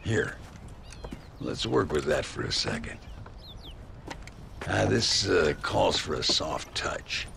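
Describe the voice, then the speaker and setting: Gravelly old Western gunslinger, boastful. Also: male voice, rumbling low, sing-song, fairly bright tone, a little hiss or hum, full character voice. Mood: grandiose. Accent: American Western. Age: older. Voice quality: gravelly, drawling. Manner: theatrical, halting, self-mythologizing. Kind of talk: video game cutscene acting.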